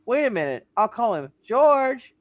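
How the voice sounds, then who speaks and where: A woman's voice: a little high, very animated, a little slow, dry recording, clean studio sound. A woman playing a coy, teasing young single woman in a hammed-up amateur radio play reading. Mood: bright, pointed.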